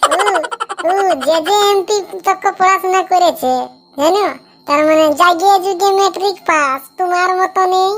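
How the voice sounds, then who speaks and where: A woman's voice: very high voice, steady tone, moderate pace, slight background noise. A woman voicing a nosy, teasing groom's sidekick in a comedy cartoon, chatty and questioning. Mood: teasing, curious.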